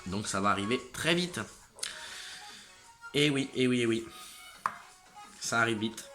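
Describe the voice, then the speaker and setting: Late-teen male vlogger, exhausted and a bit congested, with a tense, bright tone. Halting delivery that trails off and restarts. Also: mid-range voice, faint music behind. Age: late teens. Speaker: motorcycle channel host. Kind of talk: casual vlog update to viewers.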